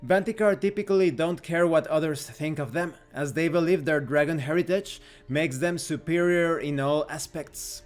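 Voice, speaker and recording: A man's voice: a little high, moderate pace, few pauses, soft background music. A man reading rulebook text aloud as scripted narration for a tabletop game review.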